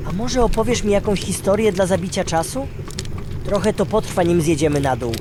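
A man's voice: sits high, a little fast, lightly acted. A man playing a restless, curious young son on a journey in a fantasy audio drama. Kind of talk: fantasy radio drama acting. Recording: clearly noisy outdoor ambience.

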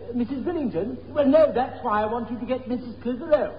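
Very high-voiced fussy gentleman. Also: quick pace, slight background noise. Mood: apologetic, formal. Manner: prissy, flustered, formal. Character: fussy, polite, easily baffled gentleman. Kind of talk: 1960s radio sitcom acting. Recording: muffled echoey old radio, studio audience.

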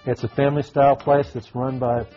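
A man's voice: medium pitch, flat delivery, fairly quick, highs cut off, faint music behind. Folksy man chef cooking on TV. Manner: folksy, talks while cooking with frequent fillers. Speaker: restaurant chef. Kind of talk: live TV cooking demo. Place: TV studio kitchen set. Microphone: lapel mic.

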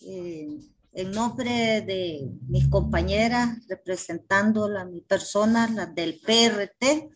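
A middle-aged woman giving a halting, informal political speech on a video call, often adding tag questions. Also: mid-range voice, lively intonation, creaky, slightly rough. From Nicaragua. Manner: hesitant, with frequent tag questions. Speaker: activist speaker at a meeting. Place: small indoor office, on a video call.

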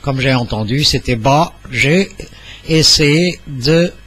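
An older man speaking in a formal, polite and halting way as he makes an amateur radio contact. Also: medium pitch, fairly bright tone, slightly rough. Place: small indoor home radio room. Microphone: studio mic on boom arm, headset.

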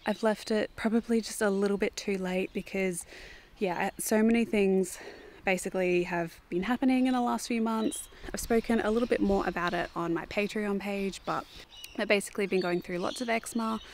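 Aussie woman rambling through a garden tour, average pitch, bit of outdoor noise behind. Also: fairly even intonation, moderate pace. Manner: chatty, with fillers and restarts, occasional soft asides. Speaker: vlogger giving a garden tour. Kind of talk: casual walking garden tour.

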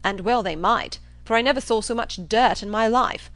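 A woman voicing a loud, brash, boastful young man with a blustering, impatient delivery in a storybook reading. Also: a little high, normal speed, no echo, lightly acted. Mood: emphatic. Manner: blustering, emphatic exclamations.

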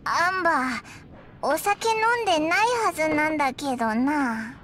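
A woman's voice: moderate pace, heavy background noise, lightly acted. A woman voicing a small, chatty, childlike fairy companion in a Japanese video game. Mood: bemused. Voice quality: squeaky, childlike. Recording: loud game music behind.

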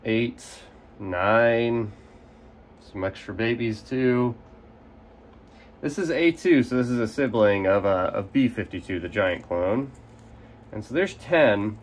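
A man's voice: fairly low pitch, slow, pauses often, slight background noise. A young man with a slightly nasal voice from a cold, casually explaining how he divides and repots plants, recorded outdoors by an overhead camera. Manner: relaxed, explanatory, casual with fillers. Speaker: carnivorous plant grower. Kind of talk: hands-on gardening demonstration. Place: outdoors in sunlight at a potting table. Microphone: camera overhead, speaker off frame.

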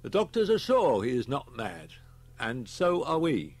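A man voicing a firm, high-pitched British police chief. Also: huge pitch swings, a little slow, husky, heavily acted. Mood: grave. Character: brisk, authoritative male police chief inspector. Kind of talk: audiobook character voice.

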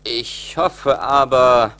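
An actor playing a cruel, hard-hearted rich husband in a 1980s radio play, harsh and imperious. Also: male voice, mid-range voice, extremely slow, pushed and bright, full character voice. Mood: cold, uneasy.

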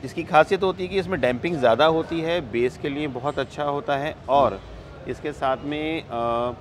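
A man in his thirties at a trade expo, explaining products with frequent "uh" pauses and English words mixed in. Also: a little high, slight background noise. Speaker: company representative at a trade expo. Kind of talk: product walkthrough interview. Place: indoor exhibition hall. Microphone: lapel mic. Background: music from the expo.

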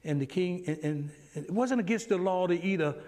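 A middle-aged man teaching a Sunday school lesson in a church sanctuary, preaching with animation and acting out exchanges with God, swinging between loud and hushed. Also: high-pitched, fairly quick, big-room sound. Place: church sanctuary, standing before a pulpit.

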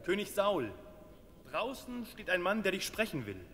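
An actor playing a worried, dutiful royal servant in a radio play, deferential and fretful. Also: male voice, sits high, big pitch swings, normal speed, fairly bright tone, slightly rough, big-room sound, exaggerated voice.

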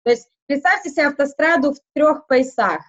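A woman whose first language is not Russian, speaking haltingly in a casual interview, with many fillers and restarts. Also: high voice, expressive, a bit bright, highs cut off. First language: not Russian; schooled outside Russian. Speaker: interview guest.

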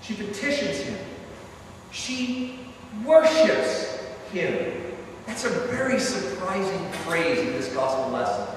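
Priest, middle-aged man, fairly high voice, chatty sermon delivery, distant and roomy with long reverb. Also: lively intonation, slow. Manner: conversational preaching with asides. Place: large stone church, indoors. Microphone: far camera at the back of the church. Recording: echoey church from far off, faint hiss.

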